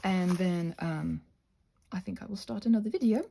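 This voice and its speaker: A woman hosting a craft channel in a casual ramble, playful and whimsical, drifting onto tangents and talking to herself, with a slightly husky voice. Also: on the low side, moderate pace, warm tone, a little hiss or hum. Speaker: host of a craft and ASMR channel.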